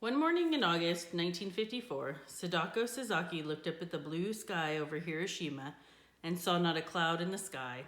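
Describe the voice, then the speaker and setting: A woman reading a children's book aloud in an expressive storytelling style. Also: fairly low pitch, moderate pace. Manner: expressive, with character voices for dialogue. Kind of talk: scripted storybook narration, read aloud. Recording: echoey room.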